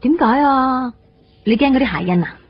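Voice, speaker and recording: An actress playing a gentle, caring young woman in a Cantonese radio drama, with a soft, reflective manner. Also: sits high, expressive, normal speed. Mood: caring, questioning. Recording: dull band-limited radio broadcast, music behind.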